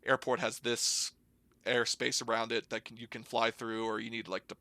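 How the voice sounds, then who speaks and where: A young American man with a bright, forward voice, recorded close and dry. He talks casually, as if explaining things to a friend. His delivery is informal and rambling, with plenty of slangy hedging. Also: medium pitch, level tone, normal speed, no room sound. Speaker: podcast guest, air traffic controller. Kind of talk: casual conversational storytelling and explanation.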